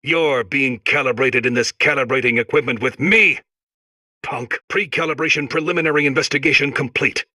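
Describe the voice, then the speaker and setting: Sharp-voiced, officious robot detective. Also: male voice, mid-range voice, normal speed, no room sound, studio quality. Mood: stern, accusing. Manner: clipped, procedural, hard-boiled interrogator. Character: officious, accusatory male robot investigator, stern and suspicious. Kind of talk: video game character acting.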